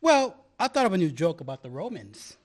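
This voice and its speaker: A man plays a young history grad student, playful and obsessive, laughing at his own corny jokes. His voice swings widely in pitch as he jokes and theorizes, in a homespun radio-play style. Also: sing-song, a little fast. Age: young adult. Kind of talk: amateur radio play acting.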